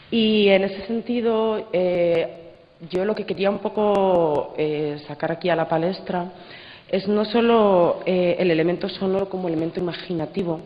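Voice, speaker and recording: A thoughtful audience member speaks spontaneously in a public discussion, feeling for words and checking for agreement. The voice sits at a middle pitch. It rings through a large hall with long reverb and a slightly dull sound. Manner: hesitant, reflective, seeks agreement with tag questions. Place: large indoor congress hall.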